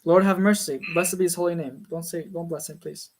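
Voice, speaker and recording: A man's voice: on the high side, steady tone, a little fast, darkish tone. A man in a casual online voice chat, teasing and pushing others with questions. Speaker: voice chat participant.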